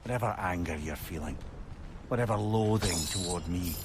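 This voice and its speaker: An actor voicing a clever, remorseful old advisor in a video game, with an earnest, confiding way of talking. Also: male voice, deep voice, normal speed, background noise, natural voice. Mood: remorseful, gentle. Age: older.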